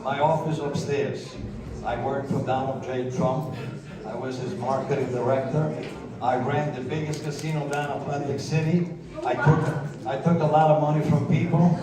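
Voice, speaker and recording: A middle-aged man from Sicily, casually telling his life story in a rambling, boastful way and chuckling often. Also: mid-range voice. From Sicily, Italy. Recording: roomy, distant mic, crowd around.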